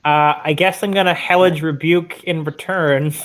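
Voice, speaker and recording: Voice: mid-range voice, fairly even intonation, normal speed. A player chatting casually during a tabletop role-playing game.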